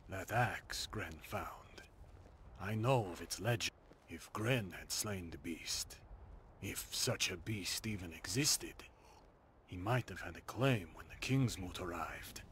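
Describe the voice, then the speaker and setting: A man's voice: low-pitched, slow pace, a bit bright, a little hiss or hum. A man playing a sober, dutiful older soldier in a video game, speaking formally and with measure. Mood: grave, reflective. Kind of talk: video game character dialogue.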